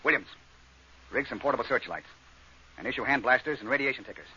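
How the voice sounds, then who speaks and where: An actor playing an authoritative, gruff spaceship captain who gives clipped orders in an old science fiction radio drama. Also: male voice, medium pitch, animated, quick pace, pushed and bright, heavily acted. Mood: stern. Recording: muffled, noisy old radio recording.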